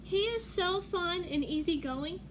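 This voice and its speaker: A woman's voice: very high voice, expressive, measured pace, pitched above the performer's own voice. A woman voicing friendly utensils in a picture book, earnest and admiring with a slightly plaintive manner. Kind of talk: children's picture book read-aloud. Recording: clearly noisy room background.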